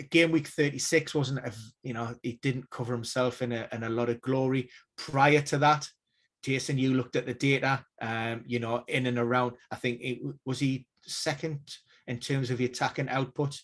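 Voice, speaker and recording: Male pundit, mid-pitched, measured and hedging, casual stream analysis. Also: flat delivery. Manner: measured, hedging, many fillers and restarts. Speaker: injury news expert.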